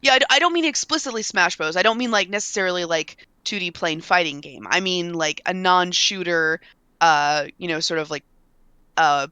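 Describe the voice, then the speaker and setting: A woman's voice: lowish voice, normal speed, bright, forceful tone, smooth, no room sound. A slightly congested woman talking casually as a panelist in a video game discussion. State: mildly congested.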